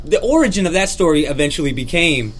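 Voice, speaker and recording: A man's voice: on the high side, big pitch swings, fast talker. A man chatting casually about movies, rambling and restarting his phrases while agreeing with his friends.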